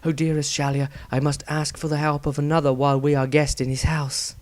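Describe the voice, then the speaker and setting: A man's voice: on the high side, little pitch movement, fairly quick, darkish tone, no room sound, natural voice. Man reading as an earnest, devout warrior woman. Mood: pleading. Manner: earnest, formal, prayerful. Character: devout, anxious young woman warrior of faith.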